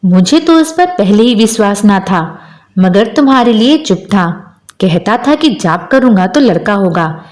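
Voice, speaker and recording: A woman's voice: medium pitch, lively intonation, unhurried, darkish tone, close to an everyday voice. A woman voicing a moody, emphatic village husband who longs for a son, in a storybook tale. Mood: despairing, bitter.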